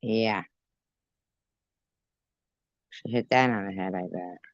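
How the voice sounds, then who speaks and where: Super low-voiced woman host, slow and sarcastic, swearing a lot, dull muffled sound. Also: no echo. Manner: blunt, sarcastic, profane. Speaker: commentary host. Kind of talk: reaction commentary. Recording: dull lo-fi recording.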